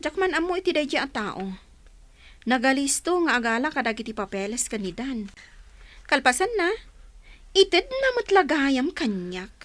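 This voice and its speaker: A woman's voice: sits high, big pitch swings, moderate pace, pauses often, a bit bright, dry recording. A woman playing a kind, earnest young working woman in an amateur serial drama. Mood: complaining.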